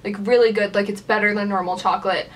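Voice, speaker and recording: A woman's voice: mid-range voice, fairly even intonation, fast, far from the mic. Chatty young woman rambling to camera. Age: young adult. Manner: chatty, lots of "like" fillers, gestures with her hand. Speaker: vlogger. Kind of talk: casual rambling vlog. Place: small room at home, indoors by a door.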